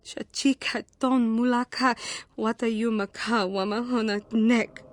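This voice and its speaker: A woman's voice: fairly high pitch, steady tone, a little slow, lightly acted. A woman playing an intense fallen Jedi in a video game, speaking lines in an invented alien tongue.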